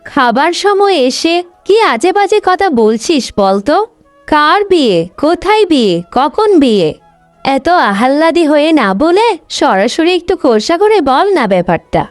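A woman's voice: high-pitched, very animated, a little slow, dry recording. A woman voicing a selfish, scornful young elder sister in a cartoon story, snappy and disdainful. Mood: irritated, scolding. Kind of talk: Bengali cartoon story dubbing. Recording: dry, music bed under the voice.

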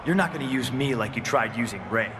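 An actor playing a disdainful, self-righteous wrestler in a video game, speaking with deliberate scorn. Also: male voice, medium pitch, background noise, close to an everyday voice. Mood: contemptuous.

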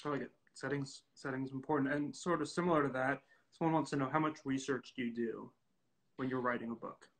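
A man's voice: mid-range voice, fairly even intonation, a little fast, poor audio. A young man hosting a casual interview over a video call in an informal, easygoing way. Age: young adult. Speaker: interviewer, host. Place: indoor room at home, video call.

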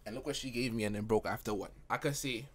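A young man chatting casually on a live stream, slangy and animated as he reacts to viewer comments. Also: medium pitch, quick pace. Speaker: livestreamer.

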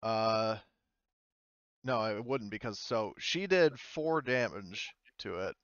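A man's voice: fairly low pitch, lively intonation, slow pace, a bit bright, clear, resonant tone, no echo, dull audio. A man running an online tabletop game as game master, talking casually and hesitantly as he thinks aloud.

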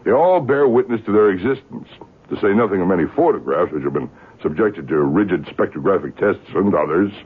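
A gruff older male editor in a vintage radio play. The voice is low and husky, with brusque authority, and the broadcast sounds thin and boxy. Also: expressive, a little fast, phone-quality sound. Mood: brisk, authoritative. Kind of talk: 1970s radio drama acting.

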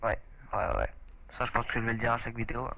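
Young guy chatting over his game, stuffy nose, bright voice, lots of waouh, faint noise. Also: mid-range voice, quick pace, vocal fry. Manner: excitable, chatty, often exclaims. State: a bit stuffy from a cold. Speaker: gaming channel host. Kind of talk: casual let's play chat.

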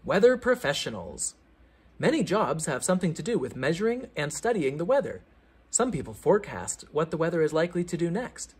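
A man reading a children's science book aloud like a teacher, with theatrical emphasis and clear explanatory phrasing. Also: on the high side, big pitch swings, fairly quick, darkish tone.

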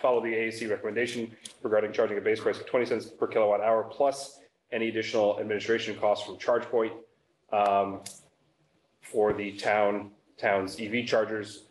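A man on a town board arguing a point and making a motion at a meeting, brisk and persuasive. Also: on the low side, flat delivery, reverberant, low-quality recording. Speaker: board member.

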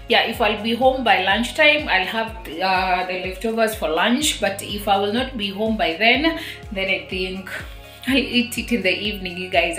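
A young woman in her twenties chatting casually to her viewers in a home vlog, her voice slightly hoarse as she is losing it, with lots of fillers and repeated "you guys". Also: mid-range voice, sharp tone. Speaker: vlogger. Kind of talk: casual vlog talk to camera. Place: small apartment kitchen and hallway, indoors. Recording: echoey small room with faint music.

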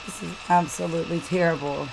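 A woman commenting on a news story, talking haltingly with many fillers and restarts. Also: fairly low pitch, steady tone, creaky, noisy background. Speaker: channel host reading news and commenting. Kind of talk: casual commentary over a screen recording.

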